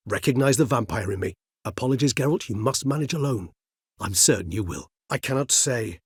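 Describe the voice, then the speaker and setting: An actor playing a courteous, erudite middle-aged vampire in a video game, with a husky low voice and formal, eloquent, measured phrasing. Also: male voice, animated, no echo, studio quality. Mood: urgent, apologetic. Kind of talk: video game character dialogue.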